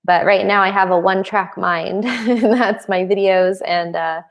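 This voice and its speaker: A woman's voice: medium pitch, steady tone, measured pace. A young adult woman hosting a casual live stream Q&A, reading out viewer comments and answering them with frequent laughs. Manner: laughs often between remarks.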